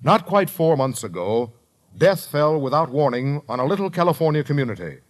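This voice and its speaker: An American man narrates a 1950s radio documentary in a solemn, deliberate, scripted style with measured phrasing. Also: fairly high pitch, sing-song. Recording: vintage 1950s radio broadcast, music underneath. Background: dramatic music.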